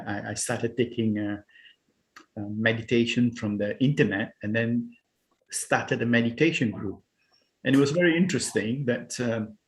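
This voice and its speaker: A man from Singapore, a spiritual healer and meditation teacher, talking as a guest in a casual interview over a video call, hesitant with many restarts. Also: medium pitch. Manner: hesitant and searching, many restarts and "you know".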